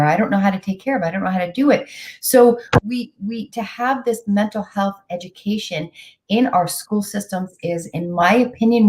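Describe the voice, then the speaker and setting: A woman's voice: medium pitch, darkish tone. A woman hosting a video call interview, enthusiastic and supportive toward her guest. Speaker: host. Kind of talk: casual interview.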